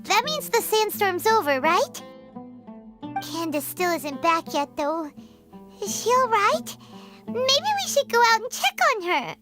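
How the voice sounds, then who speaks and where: A woman voicing a small, childlike fairy companion in a video game, excitable and anxious, with squeaky, wide-eyed exclamations. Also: measured pace, soft background music, full character voice. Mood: worried, curious.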